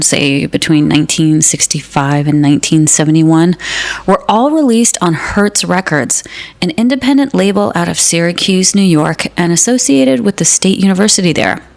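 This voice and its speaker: An actress playing an earnest, erudite music radio host, speaking in a measured scripted style. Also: female voice, lowish voice, lightly acted. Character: music-loving woman hosting a minimalist music radio show. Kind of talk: scripted radio presenting, radio drama.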